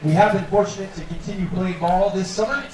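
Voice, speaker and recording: A man making formal public address announcements at an outdoor ballpark, in a measured announcing manner. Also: fairly even intonation. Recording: booming echo from a distant PA.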